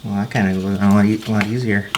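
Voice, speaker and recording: Man, low pitch, casual working chat, camera audio, loud noise and clattering. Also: flat delivery, rapid-fire. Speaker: car repair partner. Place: at a car's open engine bay by a garage.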